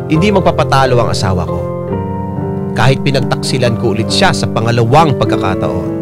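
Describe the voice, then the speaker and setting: Man acting expressive confessional radio storyteller. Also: medium pitch, lightly acted. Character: confessional storyteller voicing a guilty, infatuated spouse. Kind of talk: dramatic scripted narration for radio drama. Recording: loud piano music bed.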